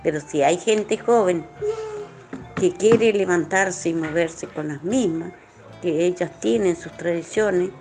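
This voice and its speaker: A woman's voice: lowish voice, slow pace, over music. A woman talking informally and reflectively, in an earnest, rambling way. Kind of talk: informal reflective interview talk.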